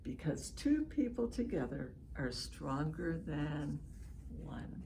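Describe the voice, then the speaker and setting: A woman hosting a children's storybook read-aloud, speaking in an encouraging, expressive way and pausing to ask young listeners questions. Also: sits low, gravelly, slight background noise. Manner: encouraging, expressive, lingers on phrases and prompts listeners. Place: at home, online session.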